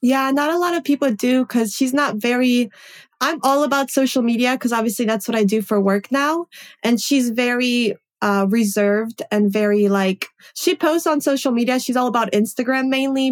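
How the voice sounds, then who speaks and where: A woman in her late twenties, a native Polish speaker, chatting upbeat as a guest about her life. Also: a little high. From Poland. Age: 20s. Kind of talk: casual interview conversation.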